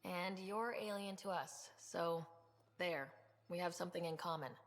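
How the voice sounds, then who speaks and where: A woman's voice: fairly low pitch, a little slow, big-room sound. An actress playing a confident, wry young female expedition leader in a space video game, talking in a casual, direct way. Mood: curious, friendly. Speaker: starship commander.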